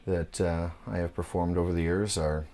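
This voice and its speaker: Man, 30s, tattoo shop owner, interview. Very low and flat, laid-back, lots of "uh". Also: slight background noise. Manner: laid-back, unhurried, frequent "uh" fillers. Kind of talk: documentary interview.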